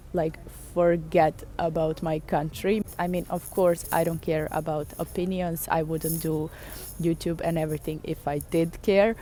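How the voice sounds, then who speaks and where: A woman's voice: mid-range voice, dark tone, a little hiss or hum. A young woman from Serbia, a native Serbian speaker, talks casually to the camera in English with a Serbian accent. She records outdoors on a camera mic and chats on with plenty of fillers. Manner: chatty, thinks aloud with fillers. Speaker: vlogger. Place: outdoors in a city.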